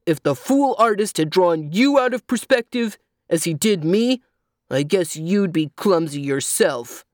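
A woman's voice: on the low side, expressive, measured pace, darkish tone, dry recording. A cross, clumsy male donkey from a children's tale, acted out by a woman reader. He complains indignantly and grumbles his way through every line. The recording is close and dry. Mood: angry, grumbling. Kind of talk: children's storybook character reading.

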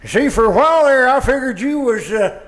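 A man's voice: extremely high pitch, animated, brisk, a bit bright. A man playing a nervous, folksy country caretaker in an old-time radio comedy, speaking hesitantly. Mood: timid, relieved. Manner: hesitant, rustic folksy phrasing. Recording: live stage recording, faint noise.

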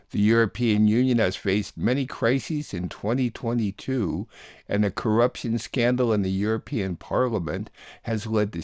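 A man hosts a listener-funded radio program, reading his links in a steady, measured way. His voice sits low and is recorded dry and close, with no room sound around it. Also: dry recording. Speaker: radio show host. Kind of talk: plainly read radio introductions.

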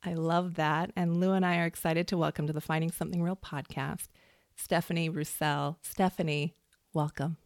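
Woman podcast host, kinda gushy chatty delivery, lots of vocal fry, really dry sound. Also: low-pitched, fairly even intonation, moderate pace, dry recording. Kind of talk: warm-up introduction and casual chat.

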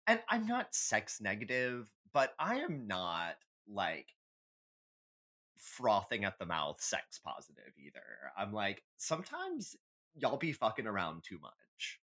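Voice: medium pitch, huge pitch swings, slow, sharp tone. A podcast co-host in casual chat, giving candid, emphatic, sweary opinions like a comic rant.

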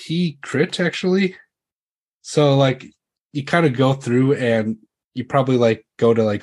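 A man's voice: mid-range voice, breathy edge. A man casually improvising narration as game master of a tabletop roleplaying game.

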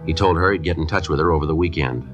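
An actor playing a terse police detective, narrating his case in the clipped, deadpan manner of 1950s radio drama. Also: extremely low pitch, fast talker, over music. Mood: flat, matter-of-fact. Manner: deadpan, matter-of-fact.

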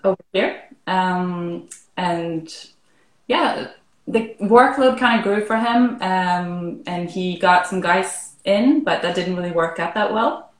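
A woman from Norway chatting casually as an interview guest, relaxed and full of "like" and "um", heard over a remote call. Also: fairly low pitch, takes it slowly, roomy sound. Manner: relaxed, frequent "like" and "um". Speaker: arborist guest.

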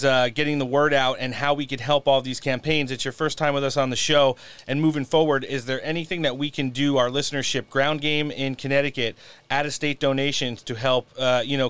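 Male show host, quick and smooth, relaxed political chat. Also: mid-range voice, no room sound. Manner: loose, filler-heavy with "you know". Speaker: podcast host. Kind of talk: casual political talk show.

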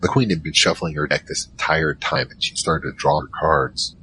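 Naive young man narrating his own adventure male actor, bottom-register yet bright, halting and informal, audio drama. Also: rumbling low, fairly even intonation, slight background noise, low-quality recording. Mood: recounting, casual.

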